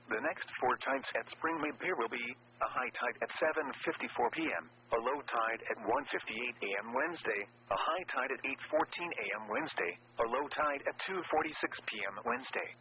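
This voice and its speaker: A man's voice: medium pitch, expressive, a little fast. Muffled radio sound, light hum, synthetic male voice, automated weather announcer, formal segmented scripted reading. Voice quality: synthetic text-to-speech voice. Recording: dull, humming, noisy weather radio broadcast.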